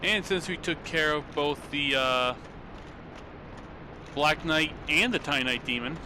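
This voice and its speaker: A man's voice: fairly high pitch, slow, background noise. A young man giving loose, rambling commentary while he plays, swearing casually, on a desk mic at home. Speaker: streamer. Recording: game music loud behind voice.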